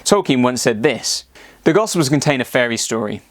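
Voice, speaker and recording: A man's voice: mid-range voice, expressive, normal speed, no echo. A man presenting a scripted explanatory narration.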